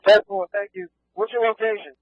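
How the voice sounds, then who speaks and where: A man working as a fire operations controller, asking brisk questions over two-way radio. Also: high-pitched, fairly even intonation, no room sound. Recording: dull lo-fi scanner radio audio.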